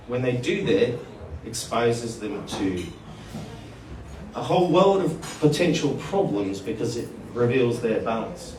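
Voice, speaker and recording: A man giving a live conference talk, informal and halting, calling people mate. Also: medium pitch, darkish tone, slight background noise. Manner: informal, addresses people as mate, restarts phrases. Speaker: conference speaker. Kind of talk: live presentation to an audience. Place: conference hall. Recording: echoey hall, picked up from far off.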